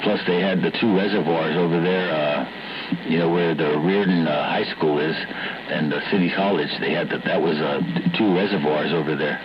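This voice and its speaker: Through a muffled CB signal thick with loud noise comes a man with a deep voice. He chats loosely and colloquially, halting often as he thinks out loud. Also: steady tone. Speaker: CB radio operator. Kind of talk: casual chat. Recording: muffled, very noisy CB radio transmission. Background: loud radio noise.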